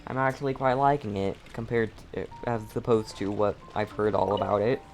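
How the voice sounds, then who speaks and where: A man's voice: on the low side, brisk, a little mellow. A streamer giving casual, off-the-cuff commentary while playing a video game, voiced by a man. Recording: game music behind the voice. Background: video game music and dialogue.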